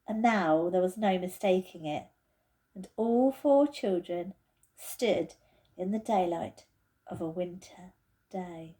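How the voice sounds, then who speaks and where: Unhurried woman reading a children's story. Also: medium pitch. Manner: deliberate, expressive reading. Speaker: teacher reading to pupils. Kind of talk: children's storybook read aloud.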